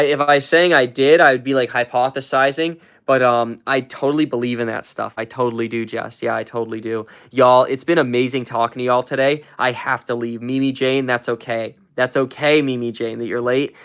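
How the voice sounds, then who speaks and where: A live stream host chatting with viewers, rambling with many fillers and repeats, and shooting way up in pitch to hype up gifts and shout out names. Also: clear, resonant tone. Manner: hyped, shouting out viewers, jumps way up in pitch when thanking for gifts.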